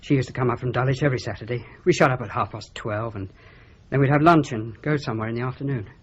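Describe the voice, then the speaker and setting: A man's voice: mid-range voice, fast talker, breathy edge, close to an everyday voice. An actor playing a hesitant, nervous shop assistant who stumbles over his words. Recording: dull lo-fi audio with faint ambience.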